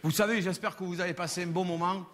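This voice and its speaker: A man with a Marseille accent doing a stand-up routine on stage, telling crude, animated stories full of swearing. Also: high voice, quick pace, background noise. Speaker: stand-up comedian. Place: stage before a live audience. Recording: live hall with long reverb.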